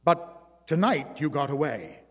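A man's voice: a little high, sing-song, measured pace, husky, full character voice. A man voicing a sympathetic male circus performer who speaks earnestly and soothingly. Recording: long reverb.

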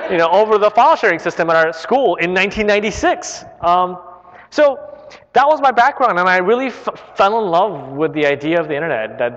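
A man's voice: fairly high pitch, a bit bright. A man in his thirties, from Korea and not a native English speaker, answering audience questions in a casual, chatty way full of "right?" and "uh". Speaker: internet company chief executive. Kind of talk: live Q&A talk. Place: large public library hall. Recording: dull hall recording with long reverb.

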